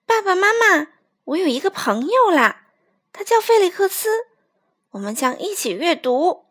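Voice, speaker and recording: A woman's voice: extremely high pitch, big pitch swings, moderate pace, a bit bright, pitched above the performer's own voice, full character voice. A woman voicing a friendly little boy mouse in a children's story, polite and eager. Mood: eager, happy.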